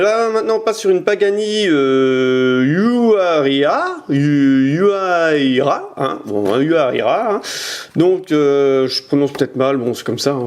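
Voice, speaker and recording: A man at home chatting to a camera while he shows off toy cars, his talk halting and packed with fillers and repeated words. He turns each item toward the lens as he goes, sometimes drifting a little away from the mic. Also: a little high. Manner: hesitant, many "euh" fillers and repeated words. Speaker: toy car collector reviewing his collection. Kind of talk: casual unboxing commentary.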